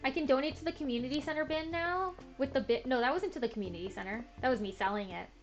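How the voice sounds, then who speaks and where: Young woman, chatty, lots of fillers, self-deprecating, slightly muffled recording, soft game music underneath. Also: high voice, quick pace. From Canada. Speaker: gaming video host. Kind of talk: casual chat while playing a farming game.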